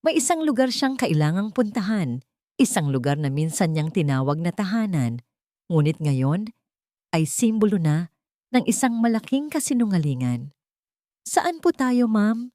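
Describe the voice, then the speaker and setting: Low-voiced woman narrating dramatically. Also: big pitch swings, normal speed, warm tone, no echo, studio quality. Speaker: storyteller, narrator. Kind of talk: dramatic scripted story narration.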